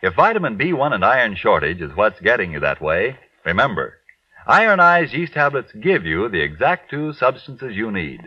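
A man's voice: fairly low pitch, very animated, moderate pace, breathy edge, no echo. A man working as a radio announcer, reading ads and announcements in a 1940s style. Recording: dull, distant vintage radio recording.

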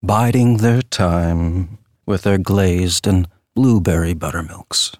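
An actor as a slow, theatrical male tour guide. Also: low-pitched, darkish tone, dry recording, studio quality. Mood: wry, eerie. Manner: theatrical, wry, drawn-out storytelling. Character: theatrical, flippant true-crime walking tour guide, man, hucksterish showman. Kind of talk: dramatic monologue, guided tour narration.

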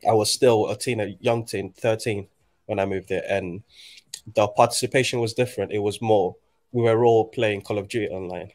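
A man in his late twenties, raised in Nigeria, speaks in a fairly low voice. He talks thoughtfully and haltingly, restarting his sentences as he works through his ideas in a relaxed conversation. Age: 20s. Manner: reflective, halting, many restarts. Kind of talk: casual conversation.

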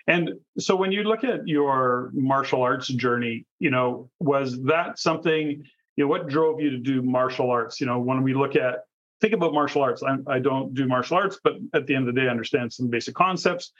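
Man, podcast host, mid-pitched voice, conversational interviewing, wordy meandering questions, muffled low-fidelity recording. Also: moderate pace, fairly bright tone. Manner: long, rambling, discursive questions. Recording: dull, lo-fi audio.

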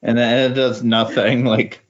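A man chatting casually on a comics podcast in a halting, tentative way, often saying "like" as he pieces thoughts together. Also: medium pitch, little pitch movement. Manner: halting, tentative, peppers speech with "like". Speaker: podcast co-host discussing comics. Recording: dull lo-fi voice recording.

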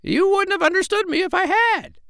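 A man voicing a bossy, clever female parrot in a shrill, high voice for a children's storybook. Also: normal speed, creaky, pushed and bright, dry recording, studio quality, exaggerated voice. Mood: instructive. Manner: emphatic, instructing.

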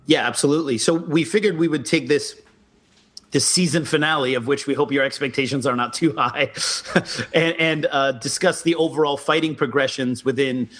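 Measured explaining man, mid pitch. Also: fairly bright tone. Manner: explanatory, measured, mildly critical. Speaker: co-host, martial arts instructor. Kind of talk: casual podcast chat.

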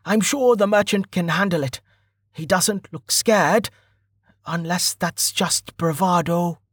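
A man's voice: high voice, slow, slightly rough, no room sound, studio quality, voice pushed up, full character voice. A man voicing a wary, grumbling traveller talking to himself in a fantasy audiobook. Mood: reluctant, anxious. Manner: muttered inner monologue.

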